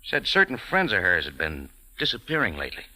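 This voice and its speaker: An actor playing a wry, hardboiled American café owner in a 1940s radio drama, speaking laconically. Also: male voice, low voice, wildly swooping pitch, fairly quick, bright, forceful tone, exaggerated voice, low-quality recording. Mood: explaining. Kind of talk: 1940s radio drama acting with first-person narration. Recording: old radio recording with organ music.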